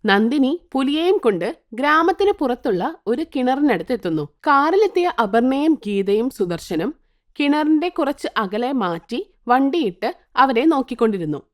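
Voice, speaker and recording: A woman narrating a cartoon moral story in an expressive, sing-song way. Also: sits high, moderate pace.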